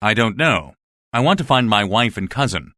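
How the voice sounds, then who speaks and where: A man's voice: on the low side, big pitch swings, moderate pace, sharp tone, no room sound. A man playing an anxious, earnest survivor in a dramatized story, questioning and incredulous in manner. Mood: guarded, weary. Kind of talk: dramatized audiobook dialogue.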